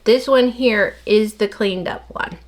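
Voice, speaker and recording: A middle-aged woman with a slightly nasal voice chats in a rambling, casual way while she works. Her words run on quickly, as if she barely pauses to breathe. Her pitch sits in a middle range. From United States. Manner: rambling, talks fast without pausing for breath. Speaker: soap maker. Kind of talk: casual chat while working. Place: home soap workshop.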